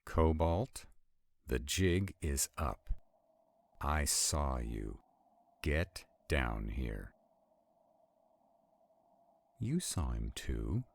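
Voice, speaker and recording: A man playing an arrogant, stubborn vampire in a roleplay audio drama, with a deep, gravelly, husky voice and a haughty, deliberate delivery. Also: sing-song, exaggerated voice. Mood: irritated, demanding. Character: arrogant, stubborn male vampire, irritated and skeptical.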